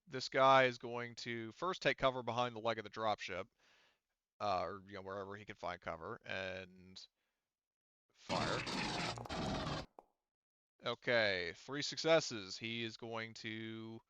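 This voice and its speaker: A man acts as game master for an online tabletop game. His voice is bright and smooth, and he talks casually, swearing and muttering as he works through rules. The recording is dry and a little muffled. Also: medium pitch, no echo. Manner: casual, profane, mutters to himself.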